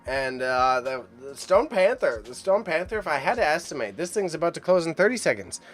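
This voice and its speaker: A man hosting a casual auction livestream, chatty and excitable as he rambles to his chat, with a mild impediment on sh and ch sounds. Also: a little high, fairly quick, soft background music. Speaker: livestream host reacting to an online auction. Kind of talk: casual live stream commentary.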